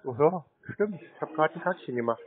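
A deadpan, teasing speaker on a prank phone call. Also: medium pitch, a little fast. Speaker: prank call recipient, show host. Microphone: telephone call. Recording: tinny narrow-band phone line.

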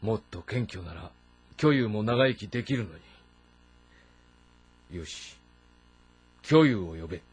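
An actor playing a shrewd, commanding middle-aged warlord of ancient China in a game drama, speaking in a measured, brooding way. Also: male voice, fairly low pitch, expressive. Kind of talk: Japanese historical drama game acting. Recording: music behind the voice. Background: background music.

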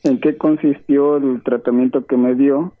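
A man's voice: mid-range voice, fairly even intonation, unhurried, no room sound. A man in his fifties from Chiapas calling in, politely listing his treatment and symptoms in order. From Chiapas, Mexico. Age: 50s. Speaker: caller. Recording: dry phone line.

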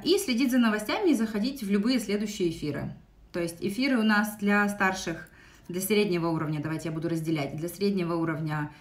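A young woman with a Russian accent, teaching an English lesson on a live stream, speaking into a phone's front camera in a small room and switching between English and Russian with many fillers. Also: mid-range voice. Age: young adult. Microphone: phone held close, front camera.

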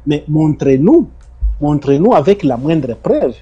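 Congolese guy on an interview, husky dark voice, keeps repeating himself, pushes hard then trails off low. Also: very animated, measured pace, highs cut off, faint music behind. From Democratic Republic of the Congo. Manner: insistent, repeats himself, emphatic rises and low trailing ends. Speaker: interview guest. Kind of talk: defensive testimony in an interview.

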